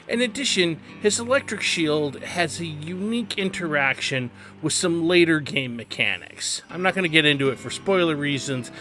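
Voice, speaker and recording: Man, lightly high-pitched voice, very lively intonation, conversational game tutorial commentary, explanatory and emphatic, music behind. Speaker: game guide host. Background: background music.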